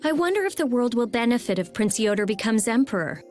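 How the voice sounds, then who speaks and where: A woman voicing a prickly, sharp-tongued teenage girl in a video game, with snappy, sarcastic delivery. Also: on the high side, big pitch swings, fast, faint music behind.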